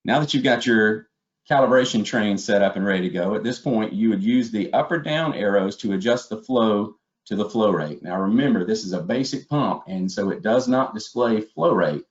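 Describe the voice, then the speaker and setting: A man's voice: on the low side, expressive, normal speed. A man giving a methodical, step-by-step instructional presentation as a webinar trainer. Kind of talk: instructional slide presentation narration. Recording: dull, lo-fi webinar audio.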